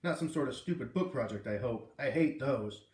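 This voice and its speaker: A man's voice: medium pitch, expressive, normal speed, a little mellow, reverberant, lightly acted. A man playing a surly, mocking schoolboy with a blunt, sneering way of talking in a storybook. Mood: scornful. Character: surly, mocking schoolboy who hates book projects.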